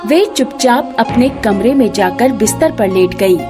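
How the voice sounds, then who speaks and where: A woman's voice: fairly high pitch, expressive, a little slow, over music. A woman storyteller giving scripted narration of a family moral story.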